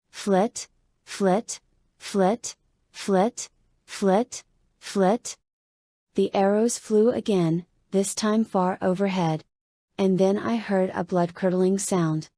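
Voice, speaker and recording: A woman narrating a first-person adventure novel in conversational, deliberate short phrases. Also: medium pitch, mellow, no room sound. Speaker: audiobook narrator. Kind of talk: first-person dramatic audiobook narration of a young adult novel.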